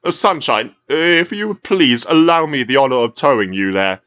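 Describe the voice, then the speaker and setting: A man's voice: mid-range voice, lively intonation, moderate pace, a bit bright, no room sound, pitched above the performer's own voice, close to an everyday voice. A man voicing a posh, very polite male tugboat with formal manners.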